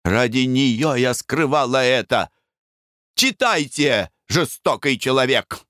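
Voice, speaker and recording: A man voicing a proud young woman who speaks high and emphatically. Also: sing-song, slow pace, fairly bright tone, clean tone, dry recording, studio quality, full character voice. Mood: indignant.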